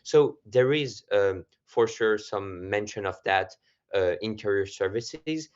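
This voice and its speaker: A young man from France with a French accent, giving measured answers as a student guest in an interview. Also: fairly low pitch, expressive, a little mellow. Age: young adult. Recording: slightly muffled audio.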